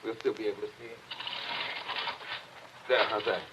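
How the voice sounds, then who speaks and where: Actor as a calm, steady attorney. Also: male voice, fairly low pitch, moderate pace, muffled recording, close to an everyday voice. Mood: soothing. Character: calm, steady male attorney, polite and dry. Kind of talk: 1940s radio acting. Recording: old radio recording with clear hiss.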